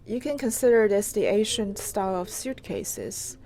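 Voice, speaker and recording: A woman reporter giving an unscripted live tour on location, in a halting, hesitant way with pauses mid-phrase. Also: mid-range voice, very animated, warm tone. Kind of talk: unscripted live walk-through narration. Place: on location inside an old house. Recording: clearly noisy on-location live recording.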